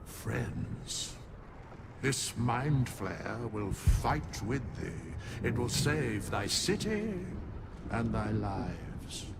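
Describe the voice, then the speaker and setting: Ancient solemn being, drawn-out gravelly speech, stately, archaic, fantasy game, loud background noise. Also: male voice, lowish voice, big pitch swings, heavily acted. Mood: solemn, assured. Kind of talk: video game cutscene acting.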